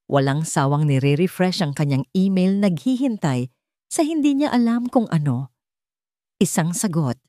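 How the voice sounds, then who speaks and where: Female storyteller, Filipino accent, slightly low register, very dry recording, dramatic scripted narration. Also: mellow, dry recording.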